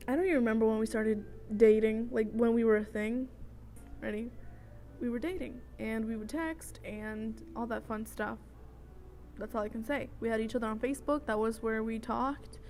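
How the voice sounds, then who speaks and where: A woman's voice: a little high, a little mellow. A woman in her twenties telling a personal story in a casual, chatty way, wandering into tangents and often checking in with the listener. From El Salvador. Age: 20s. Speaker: vlogger telling personal stories. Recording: noticeable noise, homemade vlog audio.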